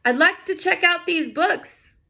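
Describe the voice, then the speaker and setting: An earnest storybook boy of nine, acted out by a woman reader, polite but set on getting his way. The voice is creaky and courteous, with a determined edge. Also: extremely high pitch, unhurried, pushed and bright, voice pushed up, full character voice.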